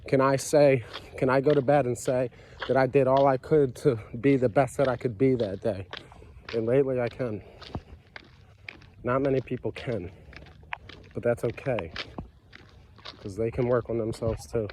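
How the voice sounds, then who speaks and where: A man's voice: medium pitch, fairly even intonation, normal speed, long pauses, warm tone, a little hiss or hum. Earnest congested man sharing his story. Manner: earnest, conversational, many fillers and repeated phrases. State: congested with a cold, stuffy nose. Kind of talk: casual personal monologue.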